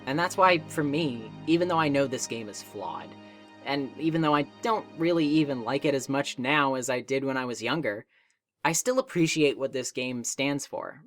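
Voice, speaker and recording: A man's voice: medium pitch, moderate pace, a bit bright. A man delivering scripted video essay narration in an emphatic, conversational and wry manner. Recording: music playing behind the voice. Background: background music.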